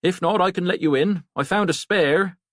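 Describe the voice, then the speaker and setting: A man's voice: sits high, moderate pace, no echo, clean studio sound, pitched above the performer's own voice, natural voice. A man voicing a casual, forthcoming village pub landlord in an audiobook. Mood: offhand, friendly. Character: affable village pub landlord, eager and enterprising. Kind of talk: audiobook character voice.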